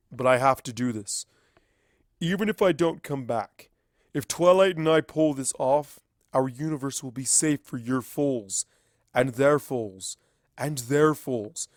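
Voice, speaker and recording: A man voicing a weary, resolute wizard pony who is a father, speaking gravely and firmly in a story reading. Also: mid-range voice, expressive, a little slow, dry recording, clean studio sound, close to an everyday voice. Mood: tender resolve. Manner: grave, firm.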